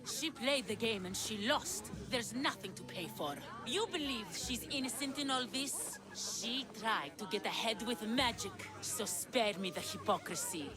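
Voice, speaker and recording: Slow, high-voiced smug woman. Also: lively intonation. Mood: cold, dismissive. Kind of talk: video game cutscene acting. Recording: music behind the voice.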